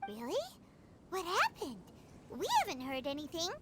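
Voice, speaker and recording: A woman voicing a tiny, excitable, easily spooked childlike fairy companion in an animated game, with a perky, squeaky voice. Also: moderate pace, background noise. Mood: curious. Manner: perky, third-person self-reference. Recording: music behind the voice. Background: game background music.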